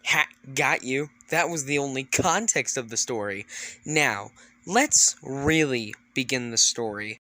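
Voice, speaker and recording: A man's voice: medium pitch, measured pace, close to an everyday voice. A man voicing an exasperated, sarcastic talking train engine. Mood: wry narrating. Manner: sarcastic, hesitant, sweary. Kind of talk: informal storytelling and acting in fan animation. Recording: loud music behind.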